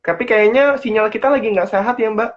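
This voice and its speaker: A man's voice: sits high, fairly quick. A man with a stuffy nose, a guest joining a talk by video call and chatting casually. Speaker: guest speaker. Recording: dull lo-fi video call audio.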